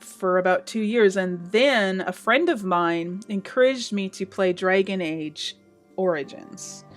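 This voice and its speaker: A woman's voice: medium pitch, a bit bright. A woman in her thirties from the Pacific Northwest of the US, with slight Southern and Canadian hints in her accent, answering viewer questions in a chatty, casual way and laughing often. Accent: Pacific Northwest American with slight Southern and Canadian hints. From Washington State, USA. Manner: chatty, laughs often, self-deprecating asides. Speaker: gaming YouTuber. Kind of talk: casual Q&A monologue.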